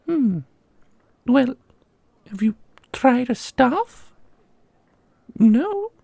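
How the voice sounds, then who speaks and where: A woman playing an ancient custodian and combat mentor in an ASMR roleplay, doting and sharply sarcastic, with a husky, creaky voice. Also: high-pitched, big pitch swings, very slow, dark tone, no echo, full character voice. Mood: doting, instructive. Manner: theatrical, teasing, instructive. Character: millennia-old custodian mentor, doting on pupil, witheringly sarcastic.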